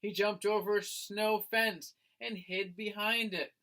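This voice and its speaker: A man, a teacher, reading a picture book aloud to young children in an expressive storytelling style. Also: sits high. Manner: expressive, slow, addressing listeners as friends.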